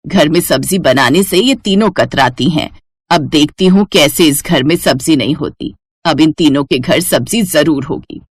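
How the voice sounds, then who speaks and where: A woman's voice: low-pitched, normal speed, breathy edge, no echo, clean studio sound, pitched below the performer's own voice. A woman voicing a stern, grumbling old mother-in-law in a scolding manner for a cartoon moral story. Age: older. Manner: scolding, complaining.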